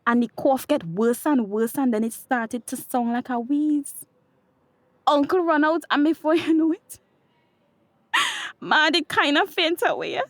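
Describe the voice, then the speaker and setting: Give this a high, dry-recorded voice: a young Tobagonian daughter in a radio play. She is grieving and worn out by quarreling men, with an emotional, exasperated island dialect. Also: unhurried, dry recording. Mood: shaken. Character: grieving, fed-up grown daughter caught between quarreling men. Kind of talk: radio drama acting.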